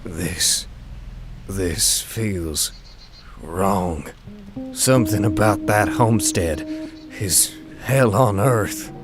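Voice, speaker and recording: Man acting deep, slow-talking Southern farm stallion. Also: over music. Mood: uneasy, fearful. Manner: laconic country drawl. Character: big older-brother farm stallion, laconic, cautious, gentle. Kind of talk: dramatic comic dub acting.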